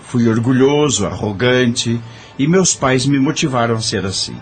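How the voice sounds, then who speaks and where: A man's voice: mid-range voice, expressive, unhurried, soft background music, close to an everyday voice. An actor playing a once-proud corrupt judge in an audio drama, confessing his misdeeds with remorse in a dramatic, sighing manner. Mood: weary, confessional.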